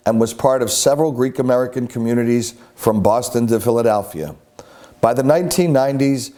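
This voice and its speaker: A man hosting an interview, reading a scripted introduction and then asking measured, polite questions. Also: mid-range voice, dark tone. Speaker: interview host.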